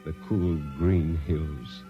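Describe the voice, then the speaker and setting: A solemn narrator of a vintage space drama. His voice is low and measured, on a dull old radio recording with soft music. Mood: solemn, elegiac. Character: solemn elegiac storyteller of space legend. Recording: muffled 1950s radio recording, music behind.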